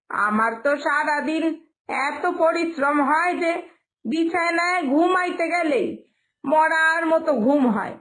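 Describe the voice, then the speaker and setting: A woman's voice: high-pitched, a bit bright, exaggerated voice, poor audio. A woman voicing a mouthy younger village working woman in a cartoon story, bluntly taunting in an eastern Bengali dialect.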